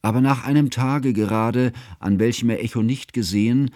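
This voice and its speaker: A man playing a devoted, learned friend who recalls events as narrator of a radio play, in measured, formal, ornate phrasing. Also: medium pitch, mellow, no echo, studio quality, lightly acted. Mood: earnest, tender. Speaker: first-person narrator.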